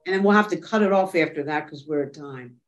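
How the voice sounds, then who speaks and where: Brief: webinar moderator, mid pitch, brisk and time-conscious, video call. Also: muffled recording.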